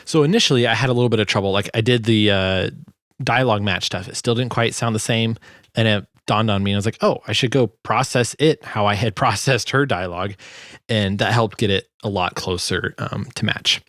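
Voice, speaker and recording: A man with a fairly low voice explains his work in an informal tutorial. He talks hesitantly, thinking aloud, and the recording is close and dry. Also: a little fast, fairly bright tone, dry recording. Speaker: sound designer presenting a tutorial. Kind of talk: casual screen tutorial narration.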